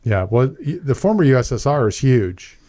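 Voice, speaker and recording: A man's voice: mid-range voice, sing-song, moderate pace, mellow. A man in his forties hosting a real estate podcast in an easygoing, chatty way. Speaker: podcast host, mortgage broker. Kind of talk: podcast chat and scripted intro.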